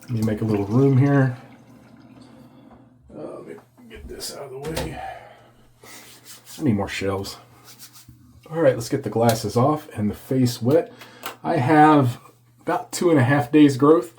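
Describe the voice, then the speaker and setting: A man hosting a casual shaving video, congested and a bit hoarse from a cold, chatting as he lathers. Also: mid-range voice, unhurried, long pauses, background noise. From Texas. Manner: chatty, reads product blurb aloud. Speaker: shaving channel host. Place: home office.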